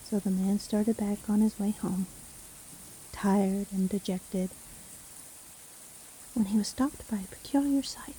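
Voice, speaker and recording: A woman playing a seemingly kindly, sly witch who lives alone in a forest, speaking gently and unhurriedly in a fantasy audio roleplay. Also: mid-range voice, fairly even intonation, unhurried, pauses often, slight background noise, lightly acted, low-quality recording. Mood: hushed storytelling. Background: rain storm ambience.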